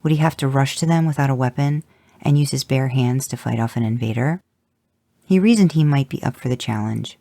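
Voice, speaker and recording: A man's voice: medium pitch, fairly quick, gravelly, mellow, no echo. A man steadily reading a piece of fan fiction aloud on a podcast.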